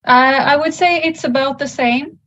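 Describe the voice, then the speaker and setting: A woman talking as a meditation student in a casual question-and-answer conversation, speaking in a halting way. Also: high voice, steady tone, fairly bright tone. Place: video call. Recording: muffled video call audio.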